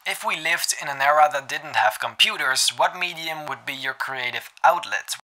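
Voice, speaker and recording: Bright, sharp male question reader. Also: a little high, moderate pace, low-quality recording. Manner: even, read-out delivery without fillers. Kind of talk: reading viewer questions aloud.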